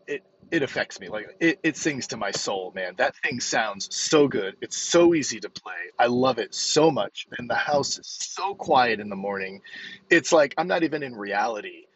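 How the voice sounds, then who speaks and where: A man in his fifties hosting a casual solo podcast as a coach, rambling informally and with emphasis. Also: mid-range voice. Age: 50s. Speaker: podcast host and physical therapist coach. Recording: dull lo-fi, vehicle noise behind.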